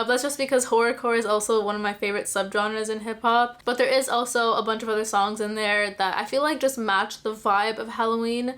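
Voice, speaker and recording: A woman's voice: mid-range voice, fairly bright tone. A woman from Iraq giving an enthusiastic, descriptive vlog talk, sometimes wondering aloud to her viewers. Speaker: music video creator. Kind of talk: casual scripted vlog talk.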